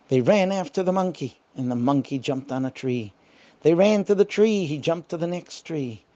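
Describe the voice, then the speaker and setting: A woman's voice: lowish voice, dark tone, highs cut off. A woman telling a children's bedtime story in simple phrases, repeating words for emphasis.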